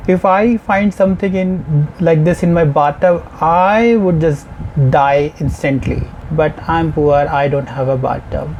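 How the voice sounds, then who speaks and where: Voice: medium pitch, a little slow, muffled recording, background noise. A person with an Indian accent giving casual, musing, conversational reaction commentary. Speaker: reaction video host. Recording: music playing behind the voice. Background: music video soundtrack.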